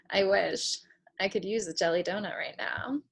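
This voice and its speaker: A woman's voice: mid-range voice, lively intonation, slow pace, vocal fry, a bit bright, husky, heavily acted. An actress playing a dreamy, hesitant young woman who rambles reflectively in a naturalistic play reading. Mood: amused. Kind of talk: naturalistic stage drama read over video call.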